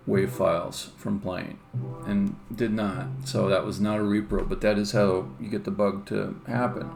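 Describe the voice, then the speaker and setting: A man giving casual live-stream commentary as a game developer, explaining things in a rambling, halting way. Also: lowish voice, gravelly.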